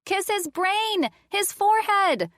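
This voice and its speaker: Woman reading a little girl, giggly, bossy, high and squealing, storybook. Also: lively intonation, takes it slowly, a bit bright, no echo, studio quality, exaggerated voice.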